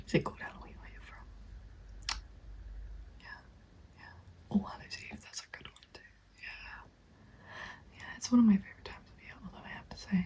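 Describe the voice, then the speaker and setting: A young woman in a gentle, encouraging ASMR roleplay, speaking in a soft breathy whisper. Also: mid-range voice, low-quality recording. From England. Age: young adult. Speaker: ASMR roleplayer playing a witch recruitment agent. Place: small dim room indoors. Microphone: close microphone. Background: computer keyboard typing.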